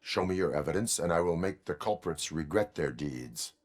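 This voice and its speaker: An actor playing a stoic cyborg police officer in a video game, speaking in a deadpan, formal, clipped way. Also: male voice, low voice, normal speed. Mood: stern, commanding.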